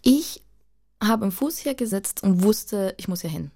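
A woman's voice: medium pitch, animated, normal speed, dark tone. A woman in her thirties from Spain, a native Spanish speaker, talking reflectively and casually as an interview guest in loose, searching phrases. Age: 30s. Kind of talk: casual conversational interview, personal storytelling.

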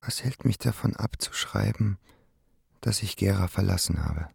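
An actor playing a brooding young man in a radio drama, murmuring haltingly as he composes a letter. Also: a little mellow, full character voice. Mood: slow, absorbed. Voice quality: low, gravelly murmur. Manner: halting, repeats phrases under his breath.

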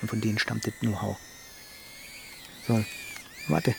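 A man's voice: on the low side, unhurried, creaky, noisy background. An actor playing a brash, self-assured village man in a naturalistic radio drama, talking in breezy, colloquial slang. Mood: confiding, eager.

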